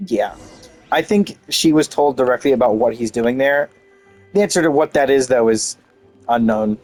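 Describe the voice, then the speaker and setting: A man's voice: mid-range voice, normal speed, a little mellow, no room sound. A man giving casual video game commentary, rambling and thinking aloud as he plays. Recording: faint game music behind.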